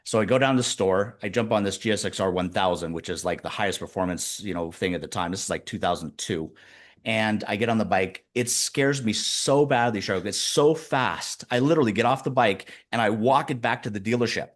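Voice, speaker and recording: A man in his fifties, a guest executive coach, telling stories in a casual interview with lively, shifting pacing. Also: on the low side. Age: 50s. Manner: animated storyteller, speeds up and slows down.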